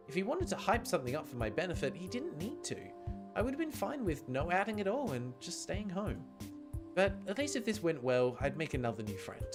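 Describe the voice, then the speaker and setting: A man streaming a game, chatty and expressive as he comments and reads the text aloud. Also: a little high. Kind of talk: livestream chat and read-aloud game commentary. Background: game music.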